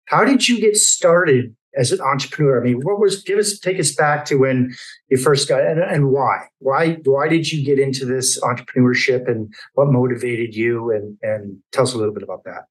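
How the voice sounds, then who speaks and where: A man's voice: mid-range voice, normal speed, poor audio. A male podcast host asking loosely phrased questions, restarting them as he goes. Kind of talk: interview questions.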